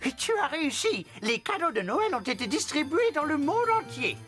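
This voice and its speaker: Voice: high voice, very animated, moderate pace, fairly bright tone, faint music behind. An actor playing an emphatic, easily astonished cartoon character with exclaiming delivery. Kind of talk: French cartoon dub.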